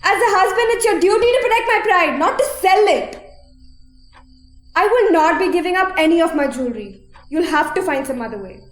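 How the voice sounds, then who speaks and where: A woman's voice: very high voice, expressive, brisk. A woman playing a proud, indignant young wife in an amateur radio drama, reproachful in manner. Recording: roomy echo, music behind.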